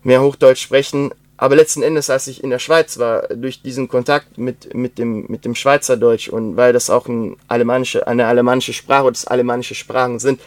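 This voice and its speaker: A young man with a slight Swabian accent, talking casually and rambling with many pauses, fillers and restarts. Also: medium pitch, fairly even intonation, darkish tone, dry recording. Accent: German with Swabian traces. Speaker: interview guest. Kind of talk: casual conversation.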